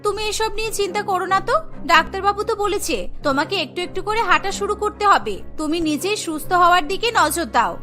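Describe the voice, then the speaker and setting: A woman voicing a capable young wife in a cartoon, brisk and reassuring. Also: very high voice, animated, bright, forceful tone, full character voice. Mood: reassuring, evasive. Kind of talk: Bengali cartoon dialogue. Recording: music behind the voice. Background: music.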